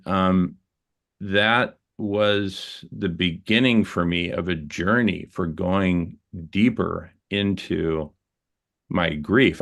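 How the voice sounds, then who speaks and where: A man sharing a personal story in a group conversation, earnest and reflective, halting with fillers and restarts. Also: sits low, gravelly, a bit bright.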